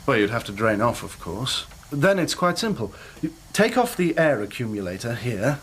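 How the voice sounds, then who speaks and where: An actor playing an earnest, inquisitive young engineer in a radio drama, with a British accent and a polite, well-spoken manner. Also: male voice, medium pitch, sing-song, moderate pace, slight background noise, lightly acted. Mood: businesslike. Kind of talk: radio drama acting and first-person narration.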